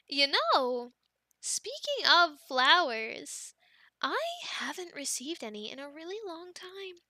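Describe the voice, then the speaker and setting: A young woman voicing an affectionate, teasing girlfriend in an ASMR roleplay, speaking softly, giggling and dropping into whispers, close to the mic. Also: high voice, big pitch swings, slow pace, long pauses, no echo, exaggerated voice. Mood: playful. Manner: soft and giggly, drifting into whispers.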